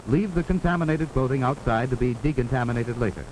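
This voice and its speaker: An old, clearly noisy film soundtrack carries the voice of an American man. His pitch is average for a man. He delivers scripted 1940s instructional narration with formal authority, setting out each instruction in a measured way. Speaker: narrator of a wartime civil defense instructional film.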